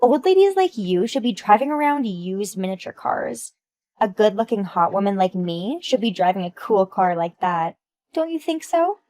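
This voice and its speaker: A woman's voice: mid-range voice, big pitch swings, moderate pace, warm tone, dry recording, lightly acted. A woman voicing Amelia, a vain and jealous woman who speaks in a catty, mocking and pushy way. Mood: catty envy.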